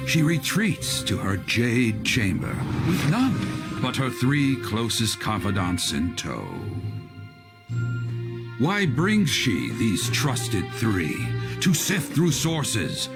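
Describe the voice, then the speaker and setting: An actor playing a theatrical teahouse storyteller in a video game, declaiming a grand tale with drawn-out pauses. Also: male voice, medium pitch, huge pitch swings, exaggerated voice. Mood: grand, dramatic. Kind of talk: dramatic oral storytelling. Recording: background music under the voice.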